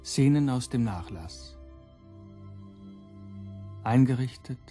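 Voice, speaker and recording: A man's voice: medium pitch, expressive, slow pace, dark tone. A man solemnly announcing a radio play in a formal manner. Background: music.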